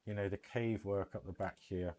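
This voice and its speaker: A man giving casual, halting, rambling commentary on a gaming livestream. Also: lowish voice, steady tone, darkish tone. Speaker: livestream host.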